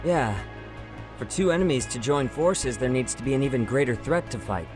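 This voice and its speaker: Easygoing mid-pitched young male sidekick a man acting. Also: lively intonation, normal speed, over music, lightly acted. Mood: thoughtful. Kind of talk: video game English dub acting.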